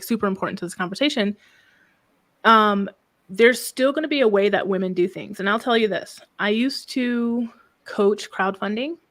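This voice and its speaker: A soft-spoken woman business coach talking calmly in a casual interview, often ending thoughts with a questioning "right?". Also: medium pitch. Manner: calm, reflective, frequent "right?" tags.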